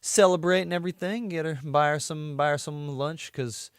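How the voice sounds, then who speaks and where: A man's voice: a little high, moderate pace, clean tone, no room sound, clean studio sound. An American man, around his thirties, chatting casually as a podcast co-host and rambling as he trails off mid-thought. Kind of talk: casual chat.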